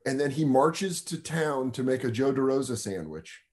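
A man trading crude jokes on a comedy podcast, animated and laughing, speaking into a studio mic over a video call. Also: mid-range voice, moderate pace. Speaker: comedian guest.

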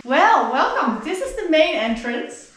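A woman's voice: high-pitched, big pitch swings, measured pace. A woman in her thirties chatting upbeat to a handheld camera in a wooden holiday house. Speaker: vlogger. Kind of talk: casual vlog chat. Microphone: action camera held at arm's length. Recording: loud background music. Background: music playing behind.

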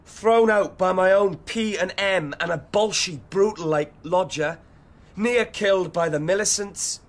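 Insolent, high-voiced teenage thug. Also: male voice, measured pace. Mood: cocky, aggrieved. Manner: theatrical slang-heavy speech. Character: insolent, volatile teenage male street thug in dystopia. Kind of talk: radio drama acting and narration.

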